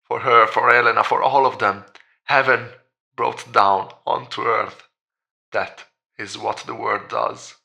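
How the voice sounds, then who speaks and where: Man acting gravelly, desperate grieving father. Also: medium pitch, steady tone, bright, forceful tone, heavily acted. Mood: desperate, fervent. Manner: halting, insistent repetition, pleading. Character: grieving father, desperate believer in a promised resurrection. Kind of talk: horror audio drama acting.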